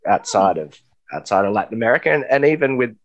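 A man's voice: on the low side, big pitch swings, fast talker. A man hosting a casual interview, often repeating words as he gathers his thoughts. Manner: stammering, repeats words while thinking. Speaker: host.

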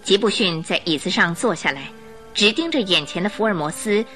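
A woman narrates a radio drama with dramatic, scripted delivery. Her mid-pitched voice is bright and animated, with faint music behind it. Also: brisk.

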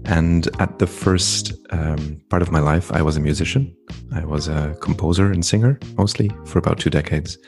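Low gravelly man. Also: a little mellow, faint music behind. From Belgium (Flanders). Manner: reflective, unhurried, frequent ums. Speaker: guest, entrepreneur and coach. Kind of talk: podcast interview conversation.

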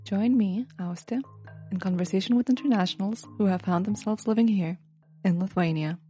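A woman hosting an interview podcast at an ordinary mid pitch. She opens the show and asks questions, then listens with short encouraging replies. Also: moderate pace, soft background music. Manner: warm listener, many short backchannels. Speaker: podcast host.

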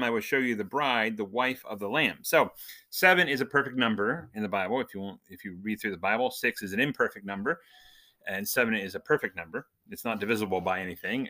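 Bright, forceful man teaching the Bible informally. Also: on the low side. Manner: conversational, explanatory, asks questions and answers them. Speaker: podcast host giving Bible teaching. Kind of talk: informal Bible lesson with scripture reading.

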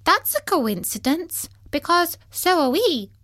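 A woman's voice: very high-pitched, big pitch swings, slow, fairly bright tone, no echo, studio quality, voice pushed up, full character voice. A woman voicing a small, timid and anxious young male animal in a storybook. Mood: surprised. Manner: timid, worried questioning.

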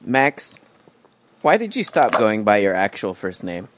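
A man's voice: lowish voice, big pitch swings, a little slow, a bit bright. A man co-hosting a comedy podcast, asking the others questions in a plain, laid-back way. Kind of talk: casual comedy banter. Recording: audible background noise and clicks.

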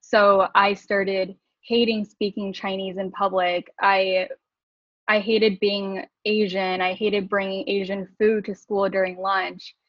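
A woman's voice: medium pitch, flat delivery, slow pace, no room sound. A woman co-hosting a podcast, talking candidly and reflectively, with frequent laughs. She grew up in Southern California speaking Mandarin and English. Her voice sounds dry and close, with a slightly dull, lo-fi tone. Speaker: podcast co-host. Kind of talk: casual conversation.

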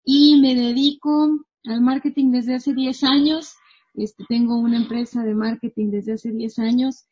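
A woman's voice: a little high, measured pace, slightly rough, muffled recording. A woman, a marketing coach chatting casually as a guest from her home. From northwestern Mexico. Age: 30s. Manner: chatty, animated, laughs easily. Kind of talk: casual interview chat.

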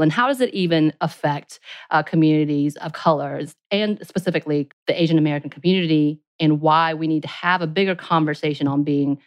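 A woman co-hosting a podcast, talking in an excited, rambling way with some mumbling as she interviews guests. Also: low-pitched, no room sound. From Asia, raised in the U.S. from age seven. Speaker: podcast co-host. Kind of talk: casual interview chat.

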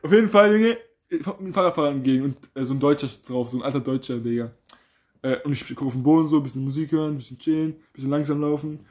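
A man's voice: a little high, fairly even intonation, quick pace, a little mellow. A young man chatting with friends in loose slang and laughing a lot. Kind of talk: casual banter.

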